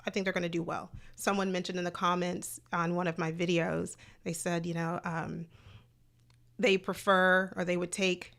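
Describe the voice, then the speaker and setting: A woman hosting a live sports talk stream, chatty, greeting viewers in the chat by name, her voice slightly hoarse. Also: lowish voice, little pitch movement, moderate pace, a bit bright. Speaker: host.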